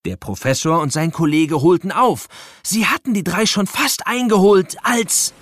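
A man's voice: high voice, animated, moderate pace, fairly bright tone, dry recording, studio quality. A man narrating a scripted children's story with a playful, dramatic storytelling manner.

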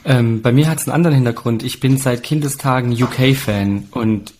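A man's voice: mid-range voice, moderate pace, darkish tone, slight background noise. A man in his late thirties telling anecdotes in a chatty, colloquial, casual way. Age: late 30s.

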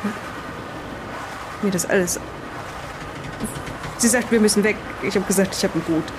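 A woman's voice: medium pitch, noisy background, close to an everyday voice. Shaken, insistent wife played by a woman. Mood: anxious, confessing. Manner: halting, insistent, confiding. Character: shaken, distraught wife haunted by her husband's return. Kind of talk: improvised in-character audio drama.

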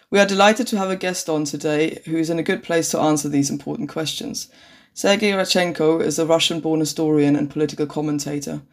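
A man hosting an interview podcast, speaking in a formal, carefully worded way. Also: a little high. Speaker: podcast host. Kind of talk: scripted introduction and interview questions.

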